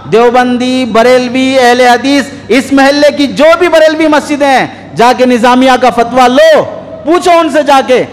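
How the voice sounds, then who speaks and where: High-pitched male preacher in an echoey hall. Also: fairly bright tone. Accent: Indian. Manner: scolding, exhorting with rhetorical questions. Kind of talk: sermon. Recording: echoey hall with long reverb.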